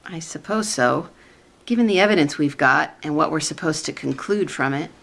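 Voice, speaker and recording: A woman playing a skeptical, wry commission staffer in a sitcom-style radio play, speaking in a measured way. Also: low voice, gravelly, fairly bright tone, exaggerated voice.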